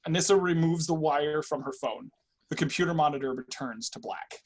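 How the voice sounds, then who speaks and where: Male stage directions reader, husky, slightly high voice, scripted narration. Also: brisk. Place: indoors on a video call.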